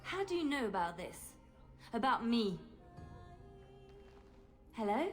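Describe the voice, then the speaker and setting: A woman's voice: on the high side, big pitch swings, slow pace, soft background music. An actress playing an earnest, vulnerable young female spy with a French accent in a video game cutscene. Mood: bitter, confused.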